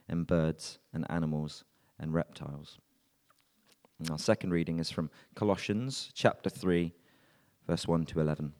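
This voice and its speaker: A man reading scripture and leading prayer in a measured, earnest way at a church service. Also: deep voice, mellow. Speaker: scripture reader and prayer leader. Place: church hall. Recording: long reverb of a church hall.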